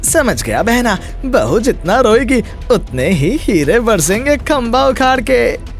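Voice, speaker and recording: A man voicing a scheming, wicked village uncle in an animated Hindi moral story, with a theatrical, sly drawl. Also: extremely high pitch, takes it slowly, faint music behind, full character voice. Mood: gloating, scheming.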